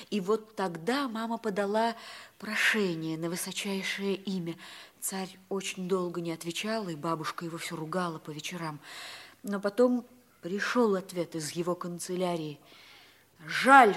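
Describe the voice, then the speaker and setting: A sincere schoolgirl played by a woman with a medium-pitched voice, speaking with earnest, breathless emphasis. The delivery is the careful stage acting of an old Soviet radio drama. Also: close to an everyday voice. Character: sincere, eager schoolgirl remembering her lost father. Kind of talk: mid-century Soviet radio play acting.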